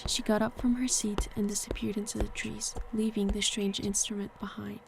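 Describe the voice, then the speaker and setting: A woman narrating a scripted horror story in a measured storytelling manner. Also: mid-range voice, little pitch movement, noisy background. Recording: clear music behind the voice. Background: music.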